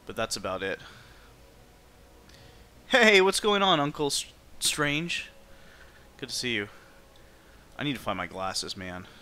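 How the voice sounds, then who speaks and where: A man's voice: mid-range voice, big pitch swings, a little slow, pauses often, pushed and bright. A man livestreaming a game, chatting informally and thinking aloud as he plays. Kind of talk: casual livestream chat over gameplay.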